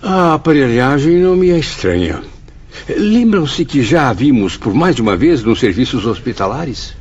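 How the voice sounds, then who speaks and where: An observant male spirit pupil speaks in radio drama style. The voice is husky, mid-pitched and animated. The sound is slightly dull. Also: normal speed. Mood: curious. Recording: slightly muffled audio.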